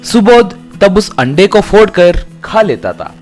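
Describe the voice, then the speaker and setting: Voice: mid-range voice, very animated, takes it slowly, darkish tone, faint music behind. A narrator reading a scripted comic moral tale for a cartoon.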